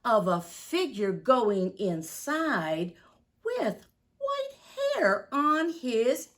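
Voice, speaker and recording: A woman, speaking deliberately and expressively to young children as a story-time teacher. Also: a little high, very animated. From United States, Midwest.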